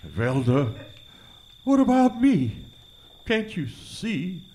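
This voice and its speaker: Man playing wildly animated, lovesick sheriff. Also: sits high, extremely slow, full character voice. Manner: earnest, melodramatic, befuddled. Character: excitable, lovesick, dim-witted small-town sheriff. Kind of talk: comic stage radio play acting. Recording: live theater stage, faint noise.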